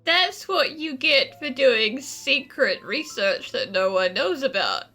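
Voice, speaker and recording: A woman's voice: high-pitched, very animated, slow, pushed and bright. A woman giving casual, sarcastic commentary as she reacts to a video game. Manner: wry, sarcastic. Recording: game music behind the voice.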